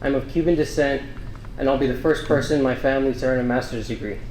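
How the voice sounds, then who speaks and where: A man's voice: medium pitch, quick pace, very noisy. A young man from Miami, Florida giving an earnest, grateful prepared speech as a scholarship student at a breakfast event. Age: young adult. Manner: earnest, grateful, steady delivery. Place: large banquet room. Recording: echoey hall, faint ambience.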